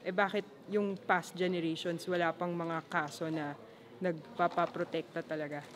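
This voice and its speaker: Low-voiced Filipino woman on location. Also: level tone, normal speed, crowd noise. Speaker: team member being interviewed. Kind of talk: casual spoken introductions and interview answers. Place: cave excavation site. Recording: voices of busy dig site.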